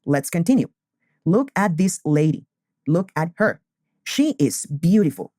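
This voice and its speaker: Slow, deliberate teacher. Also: male voice, a little high, big pitch swings, dark tone, no echo, clean studio sound. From Colombia. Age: 20s. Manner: slow, deliberate, repeats key phrases. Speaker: English teacher. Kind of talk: beginner English lesson.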